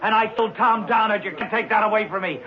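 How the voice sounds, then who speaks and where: An actor playing a cocky young hoodlum on trial for murder in a 1970s radio drama, talking in tough street slang. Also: male voice, sits high, fast, lightly acted. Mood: raving, boastful. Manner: slangy, tough-guy talk. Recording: noisy, dull 1970s radio recording.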